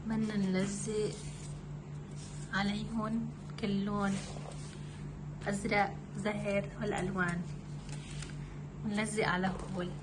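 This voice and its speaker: A woman's voice: mid-range voice, noisy background. A woman with a Lebanese accent, a mother talking casually in a homemade kids' craft video.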